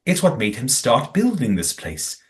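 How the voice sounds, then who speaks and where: Audio play role, male actor: righteous community elder-statesman type, formal, theatrical, with animated medium-pitched delivery. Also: normal speed. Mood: earnest storytelling. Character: righteous, well-spoken man guarding his community's history.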